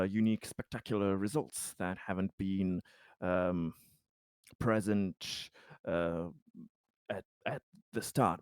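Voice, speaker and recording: Man playing slow, hesitant, polite investigator. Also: low voice, darkish tone, dry recording, studio quality. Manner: hesitant, halting, formal. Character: polite, deferential male investigator questioning an official. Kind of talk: tabletop roleplay in character.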